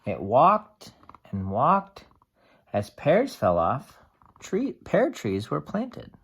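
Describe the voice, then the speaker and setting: A teacher reading a picture book aloud to young children, explaining things simply with expressive asides. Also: medium pitch, very slow, husky.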